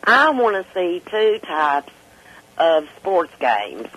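A woman's voice: lowish voice, sing-song, takes it slowly, fairly bright tone, dull audio. An older woman calling in to a radio chat show, laughing often as she tells her stories. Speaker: caller.

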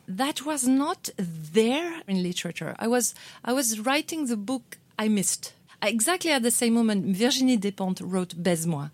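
A woman, an author from France, answering interview questions at a bookstore event in a thoughtful way, often repeating words as she searches for them. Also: mid-range voice. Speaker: author guest at a book club.

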